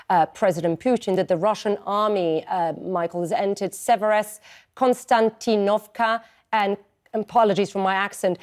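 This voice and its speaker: A woman's voice: medium pitch, darkish tone. A woman as a news anchor, asking questions in a live interview in a conversational way with some fillers.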